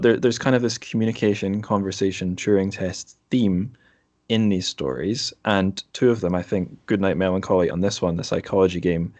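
Low-pitched man hosting a podcast. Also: a little mellow. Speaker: podcast host. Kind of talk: casual interview chat about books.

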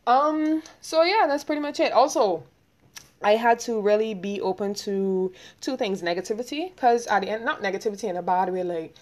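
A young woman with a Barbadian accent talking quickly and rambling, hosting a casual solo podcast and laughing often. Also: medium pitch, a little mellow. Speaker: podcast host.

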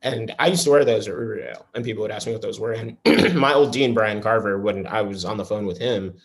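Fast-talking New man, low voice. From New York. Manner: earnest, rambling with many restarts. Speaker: interviewee, school alumnus. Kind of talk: casual conversational interview.

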